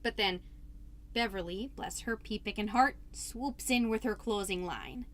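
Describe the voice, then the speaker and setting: A woman's voice: mid-range voice, fairly bright tone, lightly acted. A woman playing a folksy, rambling suburban PTA mom giving an over-polite, theatrical comedy monologue as a podcast host. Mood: chatty, polite. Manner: chatty, over-polite, self-interrupting, theatrically drawn-out phrases.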